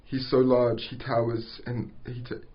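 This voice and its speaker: A man narrating stage directions at an amateur script table read, halting and stumbling over words. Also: medium pitch, little pitch movement. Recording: dull lo-fi recording.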